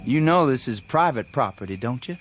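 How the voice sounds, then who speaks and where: A man's voice: mid-range voice, expressive, fairly quick, faint music behind, lightly acted. An actor playing a stubborn young country man in a radio drama, with a rural Southern US accent and a folksy, plain-spoken manner. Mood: wry, challenging. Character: defiant young country man suspected of being undead.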